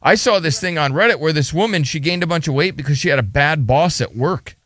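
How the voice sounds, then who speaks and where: A man's voice: a little high, lively intonation, fairly quick, a bit bright, no echo. A male radio host in casual studio chat, earnestly giving relationship advice and asking probing questions.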